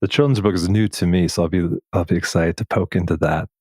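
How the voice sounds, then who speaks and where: A man hosting a podcast interview in a conversational way, appreciative and gentle, feeling his way through his phrasing. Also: low voice, steady tone, quick pace, slightly rough, no room sound, clean studio sound. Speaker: podcast host.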